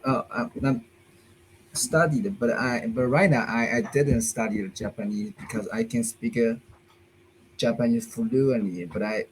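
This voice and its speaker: Guy learning english, slow and halting, broken grammar, mid voice, video call. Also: mid-range voice, slight background noise, low-quality recording. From China. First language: Chinese. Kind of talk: halting beginner conversation in a lesson.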